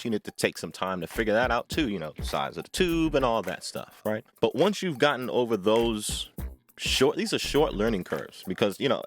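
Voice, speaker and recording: A man hosting an informal music tutorial, speaking in emphatic, deliberate phrases and often checking in with rhetorical tag questions. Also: on the low side. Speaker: music tutorial host, vocalist and producer. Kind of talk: informal instructional talk to camera.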